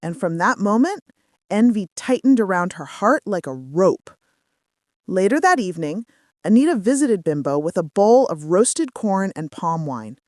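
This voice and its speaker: A woman narrating a scripted folktale as a storyteller, with dramatic, measured phrasing. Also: medium pitch, very animated, a little mellow, no room sound, studio quality.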